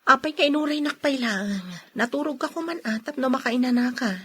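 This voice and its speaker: A woman playing a young, plaintive and affectionate wife in a radio drama, soft and husky, with an Ilocano accent. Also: sits high, a little fast, slight background noise, close to an everyday voice. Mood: tender.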